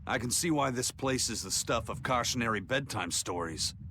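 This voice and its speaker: An actor playing a steady, frank pirate first mate in a video game, plain-spoken and commanding. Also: male voice, medium pitch, normal speed, a little hiss or hum. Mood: matter-of-fact.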